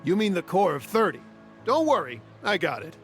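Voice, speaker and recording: A man's voice: sits high, sing-song, moderate pace, faint music behind. A man voicing a friendly, thoughtful working townsman in a video game. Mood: easygoing. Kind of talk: scripted game dialogue.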